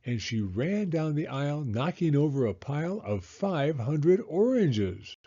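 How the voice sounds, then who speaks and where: A man reading a children's story aloud in an animated, deliberate narrating style. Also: on the high side, husky, muffled recording. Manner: expressive, deliberate, drawn-out phrasing.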